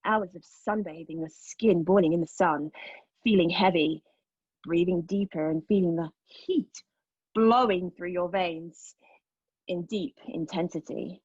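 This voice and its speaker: An actress playing a haunted young woman in a dramatic stage monologue, recounting a painful memory in a halting, intense way. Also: lowish voice, darkish tone, breathy edge, no room sound, muffled recording. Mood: sensual, dreamy. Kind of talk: dramatic monologue read at a table read of a play.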